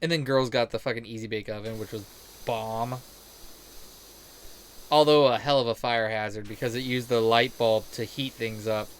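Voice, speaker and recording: A man's voice: mid-range voice, fairly even intonation, normal speed. Crude, jokey man over noticeable noise. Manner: crude, jokey, rambling, sweary; acts out quoted remarks. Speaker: game streamer. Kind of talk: casual gaming commentary and chat. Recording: clear background noise.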